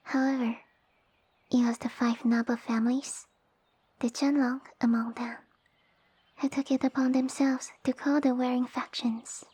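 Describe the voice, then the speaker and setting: A woman playing a sassy, tiny snake-girl noble with pompous, formal, commanding speech and a soft breathy voice, in an ASMR roleplay. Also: high-pitched, level tone, unhurried. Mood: proud, solemn. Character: haughty, sassy young lamia noblewoman, tiny monster girl. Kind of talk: ASMR roleplay audio drama.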